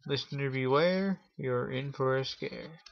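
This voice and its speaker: A man hosting a Halloween story show, telling a spooky tale in a theatrical showman's manner with drawn-out pauses. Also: mid-range voice. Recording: dull lo-fi audio with clear noise.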